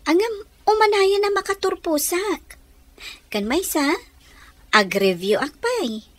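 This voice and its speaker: A woman's voice: very high voice, lively intonation, slow, no echo, full character voice. A woman playing a sweet, grateful young student in love, tender and girlish, in an Ilocano radio drama.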